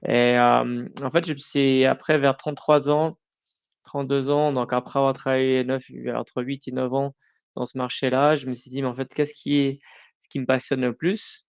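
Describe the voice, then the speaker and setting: A man's voice: mid-range voice, little pitch movement, smooth, muffled recording. A middle-aged man answering interview questions informally, often breaking off and rephrasing. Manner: informal, halting, self-correcting. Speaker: podcast guest, company founder.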